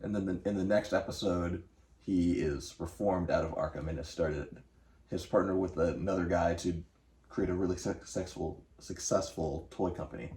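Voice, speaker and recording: Low-voiced American man, echoey room. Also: normal speed, darkish tone. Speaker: co-host of a TV discussion show. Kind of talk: casual chat. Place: living room, on a couch at home. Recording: echoey, distant, faint room noise.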